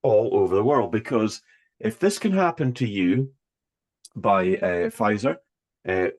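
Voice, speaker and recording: A man's voice: fairly low pitch, expressive, unhurried, a little mellow. A man hosting an interview, speaking in an emphatic, rhetorical way. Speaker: interviewer, host.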